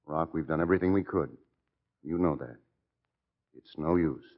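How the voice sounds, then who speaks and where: Very deep-voiced grave doctor. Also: male voice, moderate pace. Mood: grave, resigned. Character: grave, sympathetic male hospital doctor. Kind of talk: 1940s radio drama acting. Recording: thin phone-like vintage radio recording.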